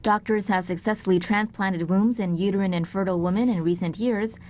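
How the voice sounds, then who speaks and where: A woman's voice: medium pitch, fairly even intonation, brisk, darkish tone, no room sound. Formal woman narrating a scripted news report. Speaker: video narrator.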